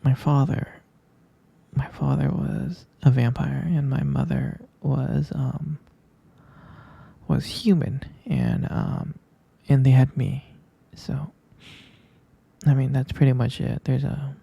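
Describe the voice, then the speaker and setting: Gentle half-vampire boyfriend, performed by a man, ASMR roleplay. Heavy vocal fry, drowsy halting whispers, unhurried and soothing. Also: mid-range voice, lively intonation, no echo, exaggerated voice. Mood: sleepy, explaining. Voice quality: breathy, husky whisper, gravelly fry.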